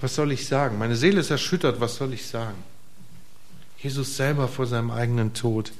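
Man preaching, middle-aged, casual sermon, talks to the congregation, loud bursts then soft asides, mid-pitched voice. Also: brisk. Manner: casual, conversational preaching with rhetorical questions to the congregation; shifts between emphatic bursts and quiet, soft asides. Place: church hall before a congregation.

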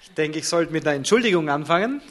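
A man's voice: on the high side, expressive, fast, a bit bright. A man interpreting a sermon live, turning each short phrase into a fuller sentence right after the speaker.

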